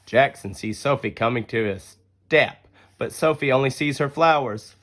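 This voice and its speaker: A man reading a children's picture book aloud as an expressive, deliberate storyteller. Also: mid-range voice. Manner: deliberate storytelling with acted-out dialogue. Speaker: story reader.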